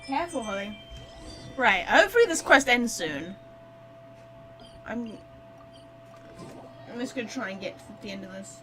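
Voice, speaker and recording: A woman's voice: on the high side, expressive, moderate pace, pauses often, over music, poor audio. A woman game streamer giving casual live commentary with offhand, muttered reactions.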